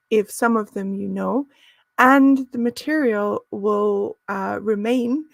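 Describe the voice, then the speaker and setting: A woman's voice: mid-range voice, gravelly, no room sound. A woman teaching a live business English lesson, friendly and encouraging, with frequent "um" and "uh" fillers.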